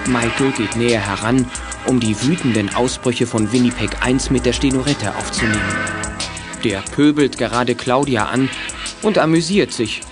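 A man's voice: mid-range voice, moderate pace, over music, close to an everyday voice. A man narrating a scripted children's detective audio drama as its storyteller. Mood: measured, suspenseful.

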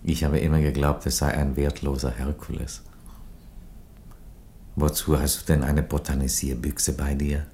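An ageing Roman emperor with a profoundly low voice. He is phlegmatic and world-weary, delivering theatrical radio drama lines with dry, courtly irony and no hurry. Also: level tone, mellow, slight background noise. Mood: amused, courteous. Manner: phlegmatic, dryly ironic, unhurried. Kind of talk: theatrical radio play dialogue.

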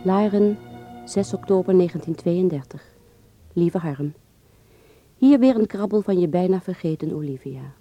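A woman's voice: fairly low pitch, lively intonation, measured pace, long pauses, mellow, soft background music. An actress playing a teasing young woman reading out her chatty, intimate letter in a radio drama. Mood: teasing, wistful.

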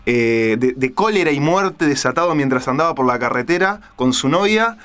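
A man's voice: medium pitch, expressive, moderate pace, fairly bright tone, clear, resonant tone. A man with a Rioplatense Spanish accent hosting a radio show in casual, unscripted talk. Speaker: radio host.

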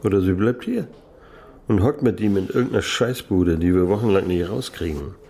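An actor playing a blunt, cynical police detective with coarse, sardonic remarks. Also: male voice, sits low, moderate pace, dark tone, slightly rough. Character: gruff, cynical male police detective colleague. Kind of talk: radio drama acting.

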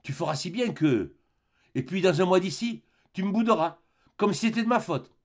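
A man's voice: high-pitched, animated, normal speed, pitched above the performer's own voice. A man voicing a plaintive young peasant wife who frets and protests in an audiobook story. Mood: fretful protesting.

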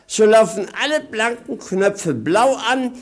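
A higher-pitched male voice plays a witty, idle companion in a 1950s radio comedy. He draws his words out slowly, with theatrical, playful mockery. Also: lively intonation, full character voice. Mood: amused, ironic. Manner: theatrical, drawling, playful irony. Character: witty idle male companion, mocking jester spinning absurd schemes. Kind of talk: 1950s German radio play acting.

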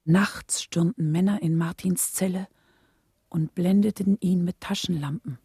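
An actress playing a dignified, devoted wife with a husky voice, in radio-play narration and dialogue. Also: female voice, lowish voice, steady tone, measured pace, warm tone, dry recording, exaggerated voice. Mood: dignified, sincere. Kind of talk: scripted first-person narration and dialogue.

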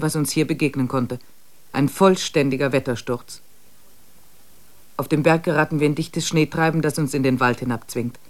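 A man's voice: on the high side, close to an everyday voice. An actor playing a weary male expedition member who reads his diary entries in a sober, matter-of-fact way in a radio play.

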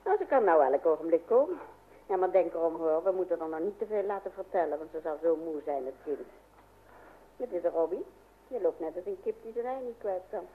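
An actress playing a brisk, gently teasing older housewife and mother in a radio play. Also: lowish voice, lively intonation, natural voice. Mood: motherly. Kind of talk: Dutch radio play acting. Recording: tinny band-limited old radio recording.

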